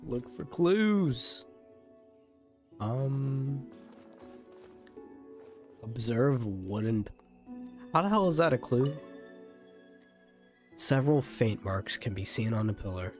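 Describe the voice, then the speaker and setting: A man's voice: mid-range voice, very animated, slow, long pauses, a little mellow, faint music behind. A man giving casual live gameplay commentary as a streamer, slangy and reactive, with muttered asides. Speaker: game streamer.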